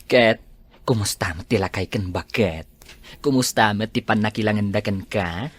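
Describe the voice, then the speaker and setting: A woman playing a relaxed, inquisitive friend in a radio drama, talking in a casual, drawn-out way. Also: very deep voice, breathy edge. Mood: curious, friendly. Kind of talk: radio drama acting in dialogue.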